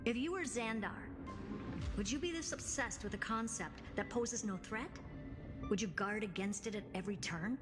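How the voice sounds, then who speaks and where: An actress plays a shrewd young woman in a game story, speaking at a middle pitch. She is dry, defiant and sure of herself as she reasons things out. Her voice rings with long reverb over clear background music. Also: moderate pace, lightly acted. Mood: confident, reasoning. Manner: dry, sardonic, self-assured. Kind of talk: acted video game dialogue.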